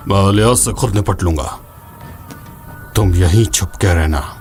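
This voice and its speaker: Man acting as a low-voiced, world-weary mystic mentor. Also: a little slow. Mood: urgent. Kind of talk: dubbed fantasy game film dialogue. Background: dramatic background music.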